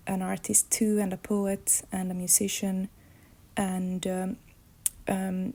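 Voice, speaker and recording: Gravelly, even-toned Finnish-woman. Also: mid-range voice. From Finland. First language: Swedish. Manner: thoughtful, searching for words. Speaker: illustrator and comics artist. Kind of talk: reflective life-story monologue.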